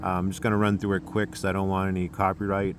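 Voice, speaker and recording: An American man casually presenting a demonstration as a hobbyist, with a halting, unscripted delivery. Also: sits low, monotone, slight background noise.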